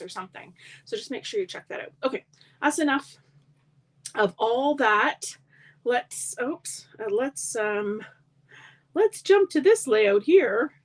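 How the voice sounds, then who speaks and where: A middle-aged woman demonstrating card making in a live tutorial, chatty and friendly, with a slightly froggy voice. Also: mid-range voice. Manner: chatty, friendly asides to viewers.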